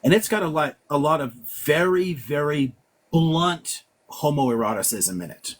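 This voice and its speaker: A man, a professor, talking bluntly and with a lot of swearing on a casual live stream, quick and full of restarts. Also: fairly high pitch, animated. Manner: blunt and profane, fast with many restarts. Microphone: live-stream setup in his office.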